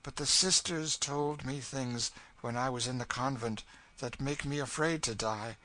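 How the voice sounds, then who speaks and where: A man's voice: medium pitch, measured pace, sharp tone, voice pushed up. A man voicing a bitter, despairing older woman in a storybook, with a fatalistic and emphatic delivery.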